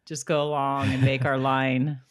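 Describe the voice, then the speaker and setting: A woman's voice: very deep voice, level tone, normal speed, a loud crowd. A woman co-hosting a podcast, recapping in an informal, giggly way, sometimes reading from a summary. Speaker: podcast co-host. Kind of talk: casual recap chat with read-aloud summaries.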